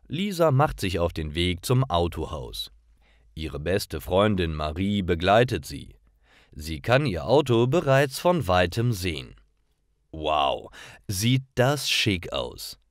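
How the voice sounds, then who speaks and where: Male narrator, for learner stories. Low pitch, dry close sound, careful articulation of simple scripted text. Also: dry recording. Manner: simple, carefully articulated read-aloud delivery.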